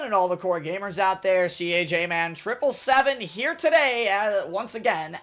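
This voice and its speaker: A young man with a loud, energetic delivery, reading a scripted tutorial as a gaming YouTuber. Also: high-pitched. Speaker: gaming YouTuber giving a weapon guide. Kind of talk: scripted game tutorial narration.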